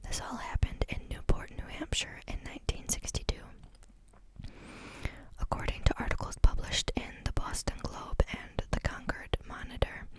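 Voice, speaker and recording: A woman reading a book aloud in a soft, unvoiced whisper, steady but sometimes stumbling on a word and starting it again. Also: normal speed. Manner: reads steadily, stumbles and restarts on words. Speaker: reader.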